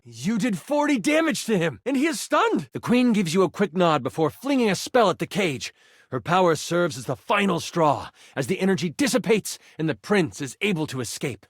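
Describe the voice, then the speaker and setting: A man's voice: sits high, no room sound, clean studio sound. A man narrating as game master in a dramatic, theatrical, suspenseful fantasy storytelling style.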